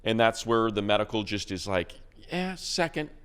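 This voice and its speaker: A man's voice: fairly low pitch, sing-song, moderate pace, fairly bright tone, clear, resonant tone. A man, a radio play-by-play announcer, chatting casually about football picks, rambling with restarts and repeated words. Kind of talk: casual sports chat.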